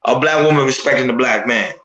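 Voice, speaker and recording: A man's voice: medium pitch, fast talker, echoey room, crowd noise. A man of Haitian descent on a casual podcast panel, speaking emphatically and often repeating himself as he builds a point.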